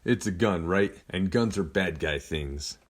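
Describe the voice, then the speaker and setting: A streetwise, gruff police sergeant, played by a man in a superhero audio drama. His voice sits fairly low, and he is blunt but folksy, coaxing like a negotiator. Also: a little slow. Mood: firm, coaxing.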